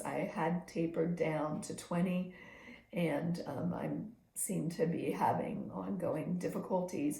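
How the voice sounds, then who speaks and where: Hoarse woman doing a health video diary, slow and kinda low, lots of ums. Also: dark tone, echoey room. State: short of breath. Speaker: video diarist. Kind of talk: personal health video journal.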